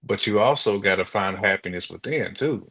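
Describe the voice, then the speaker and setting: An American man with a deep, rather husky voice co-hosts a talk show. He speaks casually, working through his opinions in everyday conversational language. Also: moderate pace, fairly bright tone. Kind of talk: casual chat giving opinions.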